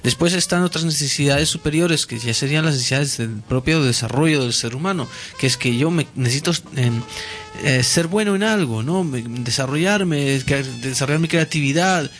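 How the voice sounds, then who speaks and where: A man's voice: a little high, moderate pace, fairly bright tone, soft background music. A man explaining ideas in casual conversation, hesitant, with many fillers and restarts.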